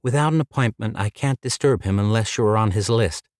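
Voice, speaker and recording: A man's voice: fairly low pitch, brisk, darkish tone, no room sound, studio quality, voice pushed up, natural voice. A man putting on a chirpy young receptionist woman. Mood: polite. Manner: chirpy, courteous, apologetic but unbending. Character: polite young female office receptionist, firm.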